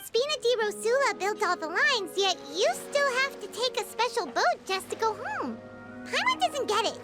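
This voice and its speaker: Tiny childlike game fairy, squeaky high pitch, chirpy, blunt, music behind. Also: female voice, very animated, normal speed. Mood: curious, puzzled. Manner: refers to self in third person. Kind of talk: video game voice acting.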